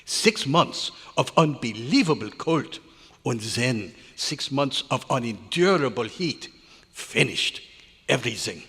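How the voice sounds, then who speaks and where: Man acting a husky, cantankerous old scientist, hall echo. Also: medium pitch, lively intonation, slow pace, bright, forceful tone, full character voice. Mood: grave lecturing. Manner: grumbling, exasperated, inverted foreign-sounding phrasing. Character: cantankerous old male research scientist, grumbling yet fond. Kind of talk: old-time radio drama recreation performed live. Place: large hotel meeting room at a convention. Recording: echoey hall, live stage performance.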